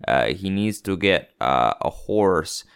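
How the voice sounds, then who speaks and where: Deep smooth-voiced joking Canadian man. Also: lively intonation, takes it slowly, a bit bright. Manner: sarcastic, joking, rambling with many fillers. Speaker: podcast co-host. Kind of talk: casual joking chat.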